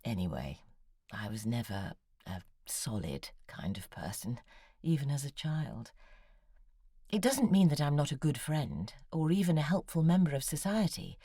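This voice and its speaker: An actress playing a moody woman writer who eagerly answers an email, confessing her touchiness in a breathy, half-whispered voice. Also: very deep voice, big pitch swings, normal speed, warm tone, no echo, clean studio sound, exaggerated voice. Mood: confessional. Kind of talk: audio drama, reading out an email reply.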